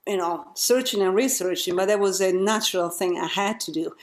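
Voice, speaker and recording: A woman's voice: mid-range voice, fairly quick. Woman musician thinking aloud. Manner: searching, thinks aloud in loose phrases. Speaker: singer, interview guest. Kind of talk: casual conversation.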